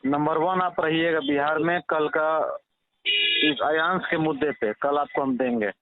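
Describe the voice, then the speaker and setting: A man's voice: a little high, sing-song, a little slow, no room sound. Bihari man on a tinny phone line. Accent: Bihari Hindi. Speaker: father appealing to a reporter. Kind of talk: casual phone conversation. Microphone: telephone. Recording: tinny, narrow-band phone call recording.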